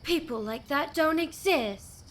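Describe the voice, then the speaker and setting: A woman's voice: sits high, lively intonation, takes it slowly, a bit bright, a little hiss or hum, heavily acted. An actress playing a cynical, guarded young woman in a radio play. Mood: sardonic, guarded.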